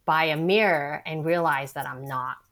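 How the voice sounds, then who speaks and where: A woman with a lowish voice, talking as an interview guest. She speaks in a reflective, measured way and often pauses and trails off while she works through her thoughts. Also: a bit bright. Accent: Vietnamese. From Vietnam. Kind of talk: conversational interview.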